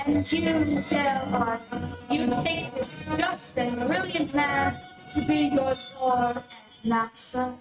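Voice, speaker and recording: Lady doing a space temple priestess, solemn radio play style, slow and grand, echoey n a bit far off. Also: sits high, loud music behind the voice. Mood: ceremonial. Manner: ceremonial, declamatory. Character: solemn, defiant high priestess of an alien temple. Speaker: amateur radio play actor. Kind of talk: old-time radio serial acting. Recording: echoey, distant streamed stage audio.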